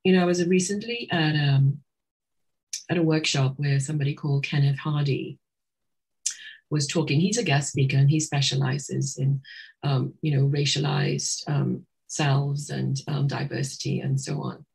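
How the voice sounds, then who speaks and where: A woman's voice: low-pitched, steady tone, moderate pace, gravelly, darkish tone, husky, rough recording. A middle-aged woman from Trinidad, a psychotherapist, speaking thoughtfully as a guest in an interview, often restarting her sentences. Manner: thoughtful, with many restarts and fillers. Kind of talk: reflective interview talk.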